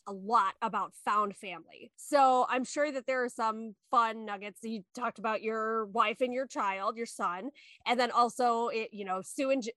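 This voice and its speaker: A woman co-hosting a podcast, asking questions in a casual, chatty, playful and animated way. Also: a little high, dry recording. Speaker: podcast co-host.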